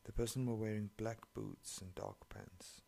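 Man, English, sarcastic swearing story reader, deep and gravelly. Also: fairly even intonation, moderate pace, mellow. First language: not English. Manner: sarcastic, profane running commentary. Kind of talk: reading online stories aloud with commentary.

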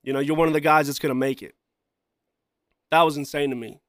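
A man hosting his own podcast in casual, rambling talk, repeating himself, often saying "you know" and "man", and clearing a scratchy throat. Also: fairly high pitch, steady tone, a little fast, a bit bright, no room sound, studio quality. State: throat trouble, coughing and clearing throat. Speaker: podcast host and musician.

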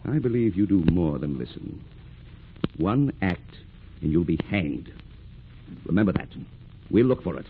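An actor playing an imperious, menacing British naval captain in an old radio drama, stern and interrogating. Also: male voice, sits low, expressive, unhurried, long pauses. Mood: threatening. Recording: tinny, hissy old radio recording.

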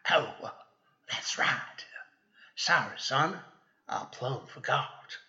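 A man acts out a folksy small-town sheriff from an old-time radio western. He hems and haws in a slow, bright Western American drawl, on a dull lo-fi recording. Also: medium pitch, animated, creaky, exaggerated voice. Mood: genial, bumbling.

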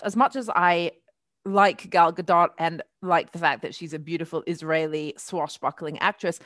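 A woman's voice: mid-range voice, no echo, clean studio sound. A middle-aged British woman, chatty and articulate, hosting a casual discussion about culture. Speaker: podcast host, columnist and historian.

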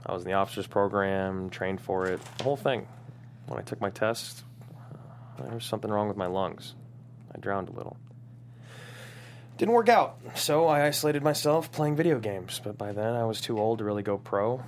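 A man's voice: lowish voice, lively intonation, moderate pace, slight background noise, natural voice. A man playing a stressed, foul-mouthed manager of a young gaming team at a comedy table read, exasperated and blustering. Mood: weary, confessional.

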